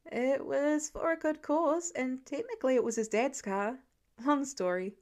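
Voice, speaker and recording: A woman's voice: sits high, a little slow. A woman voicing an earnest, sheepish and playful teenage boy in a story.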